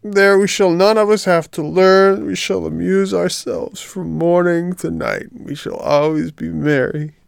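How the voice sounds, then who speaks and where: A man voicing a frightened, tearful wooden puppet boy with a high, whining voice in a storybook reading. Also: steady tone, unhurried, vocal fry, few pauses, smooth, no room sound, studio quality, heavily acted. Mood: pleading.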